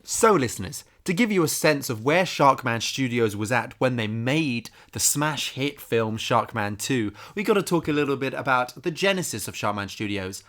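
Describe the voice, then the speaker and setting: A man co-hosting a comedy podcast, narrating a mock documentary with deadpan seriousness. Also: mid-range voice, moderate pace, hardly any pauses. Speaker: podcast co-host.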